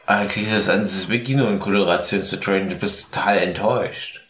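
A man's voice: on the low side, quick pace, fairly bright tone, slight background noise. A man chatting casually and ironically as a comedic podcast host, rambling and drunk, in an echoey recording. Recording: echoey, roomy sound.

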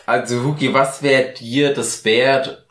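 Slow-paced joking German man, echoey room. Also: medium pitch, steady tone, fairly bright tone. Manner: rambling, joking, mock-hypothetical. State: drunk. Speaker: podcast host. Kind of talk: casual comedic podcast banter.

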